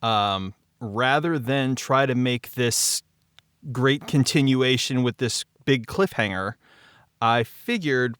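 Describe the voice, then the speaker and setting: Slow, deliberate man explaining his work. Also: medium pitch, fairly bright tone, clean tone, dry recording, clean studio sound. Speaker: show writer and podcast guest. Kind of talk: casual explanatory discussion.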